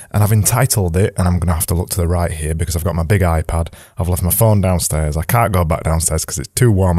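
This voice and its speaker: A man hosting a podcast as a casual audio diary from his attic at home, his voice slightly raspy, rambling and cutting in on himself. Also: sits low, warm tone, dry recording, clean studio sound. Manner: rambling, self-interrupting. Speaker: podcast host.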